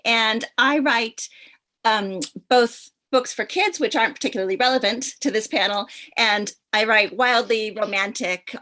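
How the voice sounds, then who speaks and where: A woman with an American accent speaking as a panelist over a video call, enthusiastic and quick to laugh. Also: medium pitch. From United States. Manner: warm, enthusiastic, laughs often. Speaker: panelist, author. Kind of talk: panel discussion.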